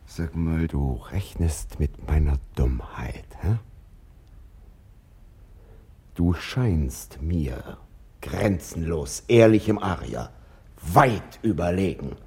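An actor playing a needy, petulant young man in a radio drama, husky, moving between sulky muttering and bombastic ranting. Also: a bass voice, lively intonation, slow pace, a little mellow, exaggerated voice. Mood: suspicious. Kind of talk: theatrical radio drama acting.

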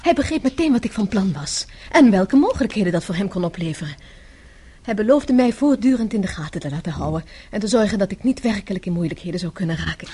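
An actress playing a composed, tough-minded young wife in a radio drama, explaining herself articulately. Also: female voice, medium pitch, expressive, fast, warm tone, husky. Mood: confiding, assured. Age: young adult. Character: self-possessed, tough-minded young wife explaining her undercover scheme.

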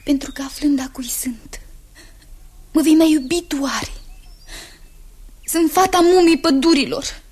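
An actress playing a gentle young maiden who speaks tenderly and often in a hushed, urgent voice, in a 1960s radio drama. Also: female voice, sits high, pauses often, close to an everyday voice.

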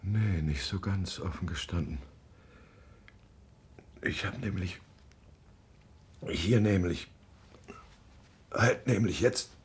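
Husky, low-voiced gruff husband. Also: male voice, full character voice. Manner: halting, curt, evasive. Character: gruff, uneasy, evasive husband hiding guilt. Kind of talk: naturalistic German radio drama acting.

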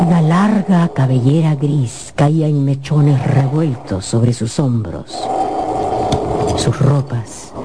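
A woman narrating a scripted radio story in a measured, dramatic storytelling manner. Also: extremely low pitch, very noisy.

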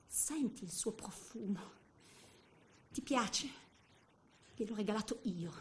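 A woman's voice: fairly high pitch, slight background noise. An actress playing a jealous, vindictive middle-aged Frenchwoman with a French accent in a theatrical comic monologue, volatile and unhinged. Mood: hushed menace. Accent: French accent in Italian. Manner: volatile, swinging from hushed menace to shrieks.

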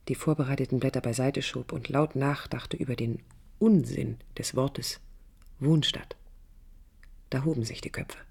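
An actress playing a proud, vulnerable yet resolute woman architect in a radio play, speaking in a reflective, deliberate, emphatic way with a breathy voice. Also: rumbling low, a little mellow, full character voice. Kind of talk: radio play acting, introspective first-person monologue.

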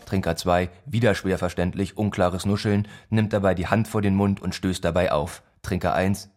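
A man narrating a literary radio play about village life in a sombre, measured, deliberate way. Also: low-pitched, steady tone, a little mellow, faint music behind, close to an everyday voice. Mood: dry, detached.

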